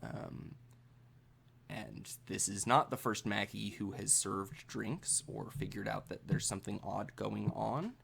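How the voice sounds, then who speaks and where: A man improvising narration as a tabletop game master, halting and suspenseful, with trailing pauses. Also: lowish voice, gravelly, fairly bright tone, slight background noise.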